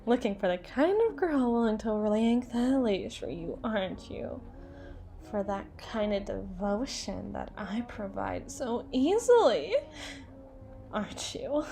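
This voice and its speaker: A woman's voice: on the high side, expressive, slow pace, warm tone. A woman performing an ASMR roleplay as a drunken, teasing, domineering female boss, rambling and stumbling over her words. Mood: teasing, smug.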